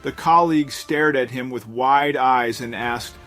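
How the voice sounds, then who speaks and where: A man's voice: mid-range voice, measured pace, a bit bright. A man narrating a scripted suspense story in a dramatic storytelling manner. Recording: voice-over with music underneath. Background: background music.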